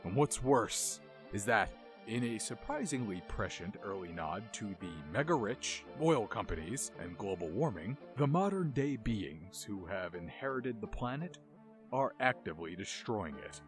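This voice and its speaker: Expressive man narrating, with lively pitch. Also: unhurried. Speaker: host of a video game music video essay. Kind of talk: scripted video essay narration. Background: music under the voice.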